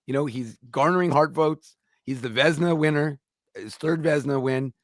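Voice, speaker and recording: A man's voice: fairly high pitch, no room sound, studio quality. A man hosting a sports talk show, chatting emphatically about hockey. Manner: emphatic, quick to agree. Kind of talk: casual sports chat.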